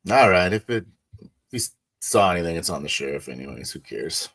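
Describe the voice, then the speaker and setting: A man playing a tabletop roleplaying game, talking in character and describing his actions in a casual, halting way. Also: low-pitched, very animated, fairly bright tone. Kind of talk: improvised in-character roleplay and first-person narration.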